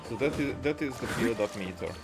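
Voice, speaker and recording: A man's voice: mid-range voice, lively intonation, brisk, over loud music. A man giving casual, off-the-cuff commentary as he plays a video game, muttering his reactions. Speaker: gaming video creator playing and commenting.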